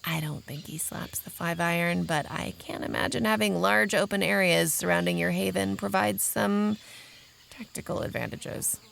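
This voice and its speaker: A woman's voice: on the low side, fairly even intonation, normal speed, gravelly, fairly bright tone. A woman playing a sardonic vampire researcher in an audio drama, narrating in a wry, profane, conversational way. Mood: wry, suspicious. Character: Aurora, sardonic young female vampire researcher narrating her exploits. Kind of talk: first-person audio drama narration and dialogue.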